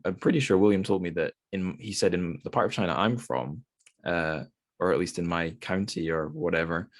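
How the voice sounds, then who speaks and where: A man hosting a podcast, chatting casually about literature in a rambling, self-deprecating way. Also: low-pitched. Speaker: podcast host.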